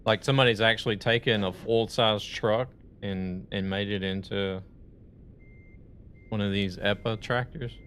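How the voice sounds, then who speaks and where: A man's voice: lowish voice, steady tone, measured pace, fairly bright tone, slight background noise. A man live-streaming a truck game, chatting in a rambling, conversational way and greeting viewers. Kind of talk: casual live-stream chat while playing a truck game.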